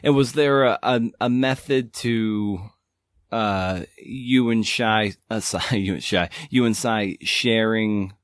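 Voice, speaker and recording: A man's voice: on the low side, slow, clear, resonant tone, no echo. A man hosting a podcast, speaking close to the microphone. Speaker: podcast host, interviewer.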